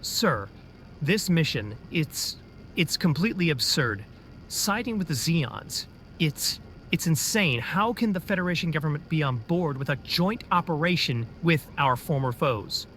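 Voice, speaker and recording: A worried young captain who stammers out hesitant objections to his superior , as a man plays him. His voice sits slightly high, and faint noise lies beneath it in this sci-fi audio drama. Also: animated, measured pace, a bit bright. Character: doubtful young male captain.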